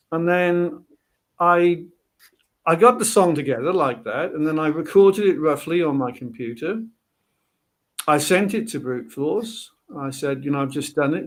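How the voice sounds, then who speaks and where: A man from Wales, a guest musician, telling a long rambling story in a podcast interview with many restarts. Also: fairly high pitch.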